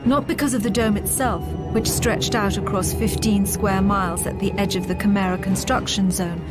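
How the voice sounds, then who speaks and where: A woman's voice: medium pitch, steady tone, normal speed, close to an everyday voice. A woman performing as a solemn storyteller, narrating a video game cutscene about an alien war in a scripted style. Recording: music behind the voice.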